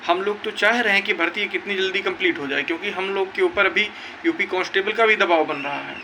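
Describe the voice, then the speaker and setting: A man's voice: high voice, quick pace, bright, forceful tone, a little hiss or hum, low-quality recording. A young man gives an informal update to viewers in an emphatic, insistent way, often repeating his points. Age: young adult. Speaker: exam-news video creator.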